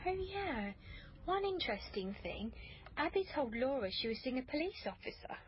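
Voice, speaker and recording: An actress playing a matter-of-fact police colleague in naturalistic radio drama dialogue. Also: female voice, high voice, sing-song, normal speed, lo-fi sound, slight background noise.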